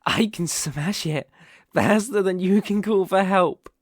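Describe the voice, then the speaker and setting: A British man playing an obsessive, menacing young boyfriend in an intimate ASMR roleplay, coaxing softly with slow, deliberate emphasis. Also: sits high, no echo, clean studio sound. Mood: stern, threatening. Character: obsessive, possessive, menacing young boyfriend holding partner captive.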